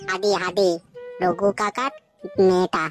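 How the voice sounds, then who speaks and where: A woman's voice: fairly low pitch, fairly even intonation, a little slow, soft background music, lightly acted. A woman voicing a lovestruck, pleading seven-year-old village boy with a childish stammer in a comic cartoon. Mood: timid, earnest. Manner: whiny, pleading.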